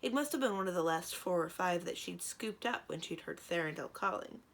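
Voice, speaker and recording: A woman's voice: lowish voice, lively intonation, normal speed, gravelly. A woman narrating a children's storybook as a library read-aloud.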